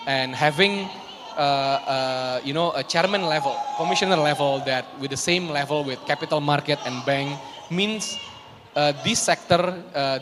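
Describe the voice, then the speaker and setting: A man's voice: on the high side, background noise. A man speaking as a panelist at a live panel, thinking aloud and often checking with "right?". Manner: thinks aloud, many fillers and restarts, asks "right?" often. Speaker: co-CEO, panelist. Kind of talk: panel discussion. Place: conference stage at a live event. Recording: reverberant live conference hall.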